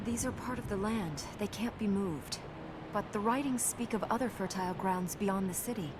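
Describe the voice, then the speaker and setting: A dutiful young princess from a video game, voiced by an actress. She sounds earnest and composed with a gently teasing side, at a medium pitch, over noticeable game ambience. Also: normal speed, lightly acted. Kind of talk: naturalistic video game dialogue. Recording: game ambience noise behind voice.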